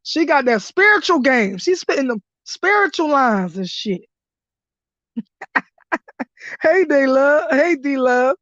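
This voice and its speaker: A woman with a hoarse, fairly high voice hosts a live stream, hyping things up with laughter and swearing. The sound is dull and lo-fi, and the room is dry. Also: no room sound. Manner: loud, laughing, profane hype commentary. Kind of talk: casual live-stream chat.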